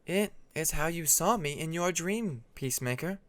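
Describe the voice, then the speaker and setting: A man voicing a calm, blunt female dragon who explains things slowly and deliberately in a fantasy audiobook. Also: on the high side, no room sound, pitched above the performer's own voice. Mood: calm, grave. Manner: deliberate, explanatory, drawn-out delivery.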